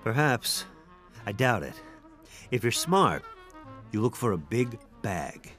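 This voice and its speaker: An actor playing an aging, stubborn police detective in a radio drama, terse and gruffly confident. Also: male voice, mid-range voice, sing-song, slow, a bit bright, soft background music. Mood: cocksure.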